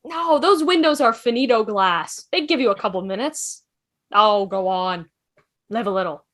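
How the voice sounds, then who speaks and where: A woman's voice: fairly high pitch, normal speed, a bit bright, lightly acted. A woman playing a clever, curious, chatty time traveller in an amateur drama table read, breezy and inquisitive. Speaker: table read performer and narrator. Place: online video call table read. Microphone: video call.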